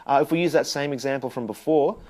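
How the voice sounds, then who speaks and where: A young man teaching bass, talking straight to the viewer in a chatty, explaining way and asking questions as he goes. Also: fast, mellow. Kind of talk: direct-to-camera instructional talk.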